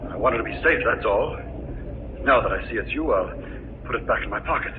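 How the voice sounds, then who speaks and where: An actor playing a wary, formal estate executor in an old-time radio mystery, with a guarded, questioning manner. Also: male voice, lowish voice, animated, a little fast, phone-quality sound, close to an everyday voice. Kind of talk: 1940s radio mystery acting. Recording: noisy, thin old radio recording.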